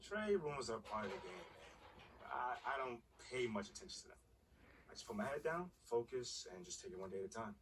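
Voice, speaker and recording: An actor playing a confident, easygoing young pro basketball player in a video game cutscene, with laid-back, slangy delivery. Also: male voice, medium pitch, very animated, brisk, far from the mic, noisy background. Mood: composed, confident. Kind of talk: naturalistic game cutscene dialogue.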